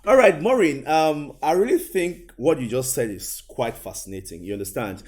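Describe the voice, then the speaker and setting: A man's voice: medium pitch, expressive, moderate pace, a little mellow. A man hosting a studio interview show, talking emphatically with repeated phrases and "you know" asides. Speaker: talk show host and interviewer. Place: indoor studio.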